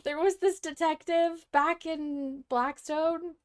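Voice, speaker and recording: A woman's voice: sits high, steady tone, slow pace. Playful woman hosting a podcast. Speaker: podcast host. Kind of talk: casual fan podcast chat.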